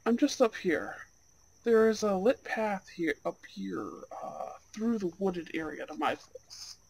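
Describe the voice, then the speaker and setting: A performer voicing a charming, soft-spoken young Goth gentleman on a blind date, in an ASMR roleplay with gentle, courteous, slightly old-fashioned phrasing. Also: medium pitch, lively intonation, unhurried, creaky, slightly rough, full character voice, poor audio. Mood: admiring, inviting. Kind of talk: ASMR roleplay, speaking to an unheard listener.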